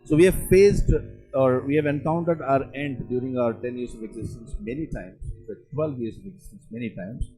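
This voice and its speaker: Indian male trainer, mid-pitched, halting storyteller giving a motivational talk, faint music behind. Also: mellow, poor audio. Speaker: company founder and trainer.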